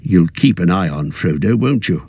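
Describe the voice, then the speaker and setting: Fussy old hobbit voiced by a male narrator, high and quavering, husky edge, dry close sound. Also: expressive, moderate pace, darkish tone, dry recording, heavily acted. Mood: fond. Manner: high quavering, petulant and defensive. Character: fussy elderly male hobbit, turning possessive.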